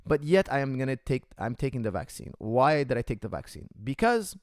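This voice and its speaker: A man in a mid-range voice rants heatedly to his podcast viewers, circling back over the same points and calling them guys. His pitch swings widely as he argues. The sound is dry and close, with no room echo. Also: big pitch swings, fairly quick. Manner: heated, repeats himself, calls viewers guys. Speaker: podcast host. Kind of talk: casual opinion rant to viewers. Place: Canada.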